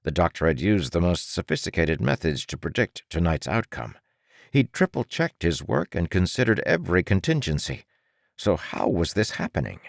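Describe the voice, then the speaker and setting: A man narrating fiction for an audiobook in a dramatic, measured manner. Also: sits low, sing-song, vocal fry, dry recording, clean studio sound.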